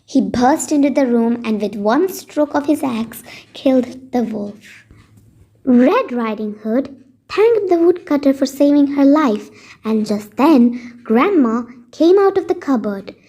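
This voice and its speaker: A woman's voice: high voice, expressive, a little slow, warm tone, husky. A woman storyteller reading a scripted bedtime story for children.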